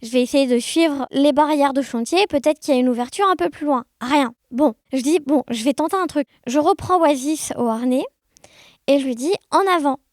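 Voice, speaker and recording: A woman chatting casually as an interview guest, telling anecdotes in an animated, conversational way. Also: sits high, moderate pace, no echo, studio quality. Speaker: podcast guest, blind guide dog user sharing experiences.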